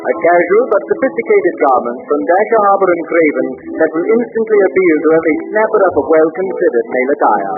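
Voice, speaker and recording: An actor playing a florid, showy fashion show announcer in a 1930s radio comedy. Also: male voice, fairly high pitch, animated, close to an everyday voice. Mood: grandly announcing. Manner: florid, showy, drawn-out emphasis. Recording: distant, muffled 1930s radio recording with music.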